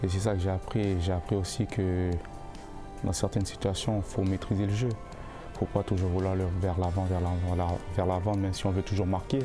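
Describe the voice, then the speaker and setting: A young male football player answering interview questions in a casual, halting way, often repeating words while he looks for the phrasing. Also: low-pitched, flat delivery, music in the background. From born in the United States, raised in Canada. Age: young adult.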